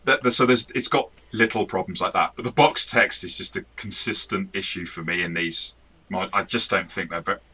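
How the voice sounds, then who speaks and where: Male review co-host, low pitch, forceful sharp edge, conversational with frequent self-corrections. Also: fairly quick, breathy edge. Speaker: tabletop game master and review show co-host. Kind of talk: casual review discussion.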